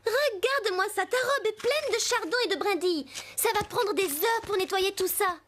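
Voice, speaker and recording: A woman's voice: very high voice, normal speed, heavy background noise. An actress playing an affectionate, emotional young girl from a horse ranch in a French cartoon dub. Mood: relieved, happy. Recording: loud music over the voice.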